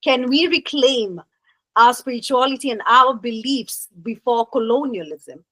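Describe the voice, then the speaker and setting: A woman from Kenya, hosting and moderating a panel discussion in an unhurried way with many "uh"s. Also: a little high, pushed and bright, no room sound. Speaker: host and moderator.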